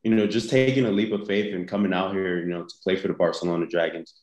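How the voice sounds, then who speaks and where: Very low and even, this young man talks in a relaxed, halting way, pausing often as he answers interview questions. His voice has a roomy echo around it, and his pitch barely moves. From United States. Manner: easygoing, halting, with many pauses. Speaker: guest, football player.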